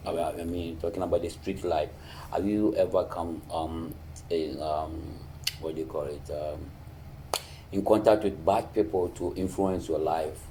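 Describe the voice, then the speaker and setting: Deep-voiced man hosting a casual interview. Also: fairly even intonation, dark tone, slight background noise, poor audio. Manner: halting, repeats words, short affirming "okay"s. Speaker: interviewer, host.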